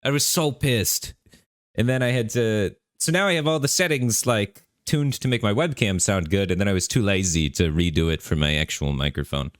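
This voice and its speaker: A man streaming a game live, talking in a relaxed, rambling way with lots of fillers, his voice bassy on a studio microphone at his home setup. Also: moderate pace, no echo. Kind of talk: casual live-stream commentary. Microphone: studio microphone through an audio interface.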